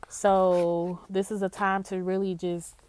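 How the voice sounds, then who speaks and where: A woman with a nasal voice, stuffed up from congestion, talks slowly and unhurriedly through a card reading. Her voice sits a little low, and she keeps tagging her thoughts with a soft "okay?" to the viewer. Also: level tone, clear, resonant tone. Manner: slow and drawn-out, with frequent "okay?" check-ins. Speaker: psychic tarot reader. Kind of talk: casual spiritual card reading.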